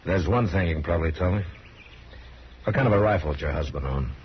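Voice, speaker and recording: A man playing a very deep-voiced, laconic frontier lawman. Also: lightly acted. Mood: reserved, probing. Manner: terse, measured, laconic questioning. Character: calm, grave frontier lawman questioning suspects. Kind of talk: 1950s radio western acting. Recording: muffled, noisy vintage radio recording.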